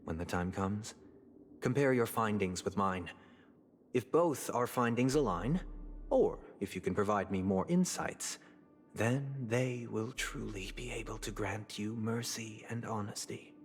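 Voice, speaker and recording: Suave sanctimonious villain for a game, low pitch, coldly formal delivery over music. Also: male voice. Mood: solemn menace. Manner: formal, measured, silkily menacing. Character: suave, coldly cunning male villain, sanctimonious clergyman-like authority. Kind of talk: dramatic video game voice acting. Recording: music behind the voice.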